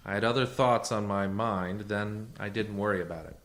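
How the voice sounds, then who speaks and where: An actor playing a calm, shrewd fugitive leader and technician in a radio science fiction drama, with a measured, dry, authoritative manner. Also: male voice, lowish voice, lightly acted. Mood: calm, commanding.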